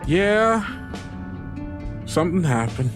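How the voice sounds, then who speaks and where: A man's voice: high voice, big pitch swings, slow, over music, voice pushed up, full character voice. A man voicing a neighborly, rueful country woman with folksy, hesitant phrasing in a tabletop roleplay. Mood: troubled, rueful. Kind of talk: improvised in-character tabletop roleplay.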